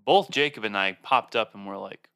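A man co-hosting a casual podcast chat, teasing his friends with lively banter. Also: on the low side, moderate pace, creaky, clear, resonant tone, no room sound, clean studio sound. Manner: teasing, animated. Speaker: podcast co-host.

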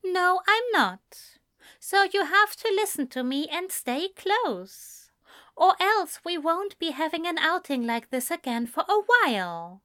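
A woman reading a patient, gently firm male uncle who speaks in a measured tone. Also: very high voice, sing-song, pitched above the performer's own voice, full character voice. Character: patient, measured middle-aged male guardian.

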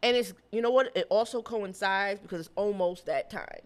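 A woman co-hosting a podcast who tells stories in casual chat, blunt and animated, and swears freely. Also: medium pitch, moderate pace, a crowd in the background. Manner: blunt, profane and animated storytelling. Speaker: podcast co-host.

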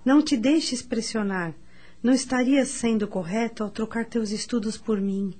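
An actress playing a gentle, devoted, earnest young woman in love, speaking softly and formally in a radio drama. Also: on the high side, normal speed, natural voice. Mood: tender, resolute.

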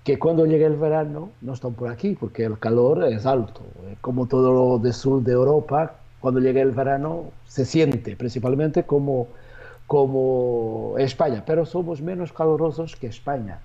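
A man, a native Portuguese speaker with a Portuguese accent, answering interview questions as a real estate agent in a halting way, with many restarts and fillers. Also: mid-range voice, vocal fry, highs cut off.

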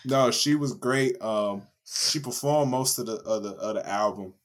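A hoarse man with a raspy voice, co-hosting a podcast and telling stories in casual chat. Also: measured pace. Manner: animated storytelling, laughing, mimicking with big pitch jumps. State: hoarse after a concert. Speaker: podcast co-host.

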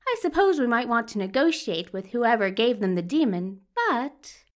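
A woman's voice: on the high side, sing-song, moderate pace. A woman voicing a menacing, contemptuous male villain doctor with a dangerous, commanding manner in an audio drama. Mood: scornful, calculating. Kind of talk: audio fiction acting.

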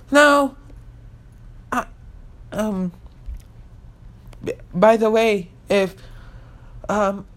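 An eighteen-year-old woman hosting her own podcast in casual, rambling chat, full of fillers and restarts, earnestly insisting she means what she says. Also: medium pitch. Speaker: podcast host and YouTuber.

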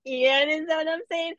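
A woman with a Jamaican accent, chatting as a guest in a casual interview, animated and laughing often. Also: high voice, no room sound, highs cut off. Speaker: guest, mom influencer.

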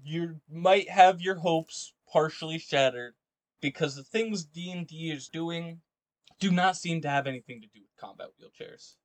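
A man's voice: a little high, moderate pace, no room sound. A man hosting a casual podcast, talking in a joking, irreverent, slangy way. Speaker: podcast host. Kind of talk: casual podcast chat and commentary.

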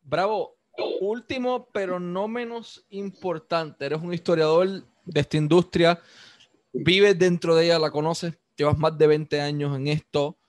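A man hosting an interview, asking his questions in a measured, deliberate way. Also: a little high, steady tone, no room sound. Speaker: interviewer, host.

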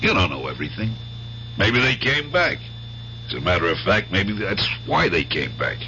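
Casting: nosy, teasing police lieutenant, very low, lively voice, 1970s radio drama on dull tape. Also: male voice, moderate pace, full character voice. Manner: brash, teasing, offhand. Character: wisecracking, nosy married homicide lieutenant. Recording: dull, faintly noisy old radio recording.

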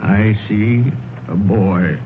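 A man's voice: fairly low pitch, extremely slow, phone-quality sound, background noise. A man acting an old, emotional, remorseful miser in a theatrical old-time radio drama style, exclaiming and repeating his words. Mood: wistful, sad. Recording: old radio broadcast, thin band-limited, music behind.

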